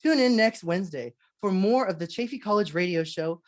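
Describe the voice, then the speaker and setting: A woman's voice: mid-range voice, animated, brisk. A woman hosting as a 1940s-style radio announcer, reading a script with theatrical showmanship. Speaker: radio show host, announcer.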